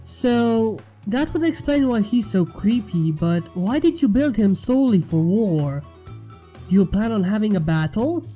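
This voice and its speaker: A woman's voice: medium pitch, expressive, unhurried, dark tone, soft background music, close to an everyday voice. A woman voicing an earnest, uneasy young female dragon who is easily irritated, in a fan fiction reading. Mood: indignant.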